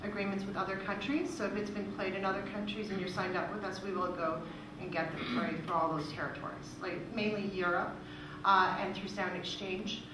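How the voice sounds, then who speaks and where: Female presenter, explaining informally in Q&A; picked up from a distance in a highly reverberant room. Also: little pitch movement, fairly quick. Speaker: presenter from a music royalties organisation. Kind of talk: presentation with audience questions. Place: indoor presentation room with audience. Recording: echoey room, distant mic, noisy.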